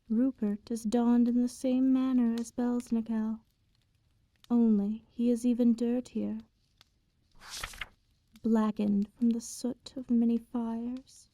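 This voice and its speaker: A woman voicing an aristocratic female vampire in a soft-spoken ASMR roleplay, possessive and condescendingly tender with a teasing menace. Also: fairly high pitch, no room sound. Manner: slow, hushed, languid, veiled threats delivered sweetly.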